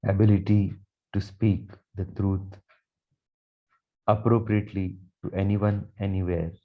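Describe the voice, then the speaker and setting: A man guiding a meditation with affirmations in a slow, calm, soothing delivery with long pauses. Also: low voice. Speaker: meditation guide, Reiki teacher. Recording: dull, muffled lo-fi sound.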